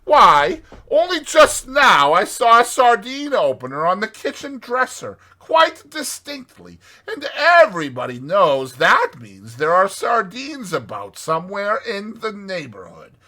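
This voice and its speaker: A man's voice: high-pitched, big pitch swings, bright, forceful tone, pitched above the performer's own voice, full character voice. A man voicing a hearty, bossy, cheerful rat in a storybook, with a chummy, exclamatory manner. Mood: reproachful, rallying. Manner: exclamatory, brisk old-chap chumminess.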